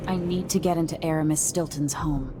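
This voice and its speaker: An actress playing a guarded, world-weary masked infiltrator in a video game, speaking in a cool, measured, dryly skeptical way. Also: female voice, sits low, steady tone, background noise, close to an everyday voice. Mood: cool, purposeful. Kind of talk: dramatic video game dialogue.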